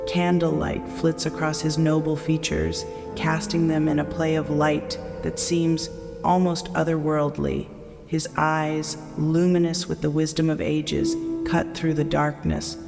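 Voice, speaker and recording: A man giving atmospheric, scripted gothic narration in a measured, dramatic, solemn manner. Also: a little high, steady tone, vocal fry. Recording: long reverb, loud music behind.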